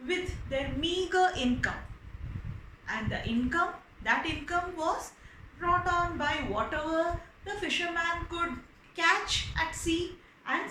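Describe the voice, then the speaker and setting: A woman telling stories for children, explaining as she goes, expressive and repeating words for emphasis. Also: very high voice. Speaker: storyteller. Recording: roomy echo with faint background noise.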